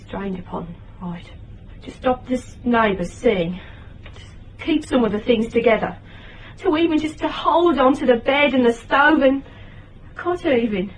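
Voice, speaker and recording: An actress playing a frightened young British single mother in radio drama, speaking in a halting, rambling way. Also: on the high side, far from the mic, close to an everyday voice, low-quality recording. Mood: distressed, desperate. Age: young adult.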